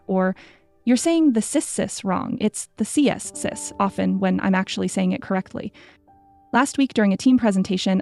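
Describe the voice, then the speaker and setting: Female narrator for scripted stories; mellow tone with vocal fry. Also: mid-range voice. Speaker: story narrator.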